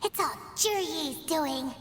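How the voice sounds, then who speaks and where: A woman voicing a tiny, childlike, excitable fairy companion in a video game, with a squeaky, indignant voice. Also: slow pace, long reverb tail, noisy background. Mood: shocked, indignant.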